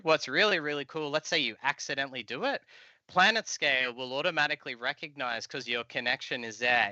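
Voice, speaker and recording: A man's voice: medium pitch, bright, forceful tone, no room sound, muffled recording. A man, around his thirties, giving an informal, explanatory live coding walkthrough as an instructor over a video call. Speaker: software tutorial instructor. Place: indoor room, video call.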